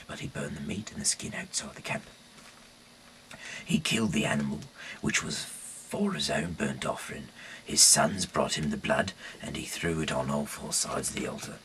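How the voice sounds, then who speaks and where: A man with a gravelly voice reading scripture aloud as a host, halting at times and stumbling over words and repeating phrases. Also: extremely low pitch, sharp tone, a little hiss or hum, poor audio. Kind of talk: read-aloud Bible narration with casual slips.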